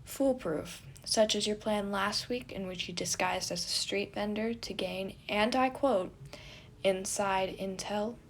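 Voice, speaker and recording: A bookish teenage adviser voiced by a woman from a storybook tale. Delivery is deadpan and formal, each phrase precise, with dry, logical wit. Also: mid-range voice, measured pace, slight background noise, natural voice. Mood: sarcastic.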